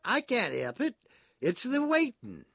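High-pitched, earnest, chummy male prisoner. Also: wildly swooping pitch, a little slow, gravelly, a bit bright, no room sound, exaggerated voice. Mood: embarrassed pleading. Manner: earnest, chummy, plaintively proud. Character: eager, doleful, self-blaming old chum prisoner, naively loyal.

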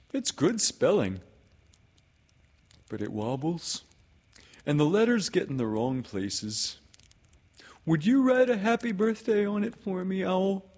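A sweet-natured, earnest toy bear from a storybook, acted out by a man. He speaks slowly and simply, with a raised pitch that rises and falls a great deal. He is polite and naive in every phrase. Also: darkish tone, full character voice. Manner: slow, earnest, simple-minded delivery. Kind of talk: storybook character voice in a read-aloud.

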